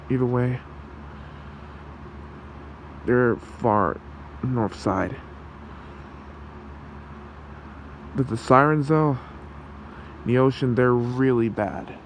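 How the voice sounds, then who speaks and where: A man voicing a gentle, protective fox creature in an ASMR roleplay, with a soothing, halting, gravelly delivery. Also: medium pitch, a little hiss or hum, natural voice. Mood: cautioning. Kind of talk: ASMR roleplay, soft comforting talk.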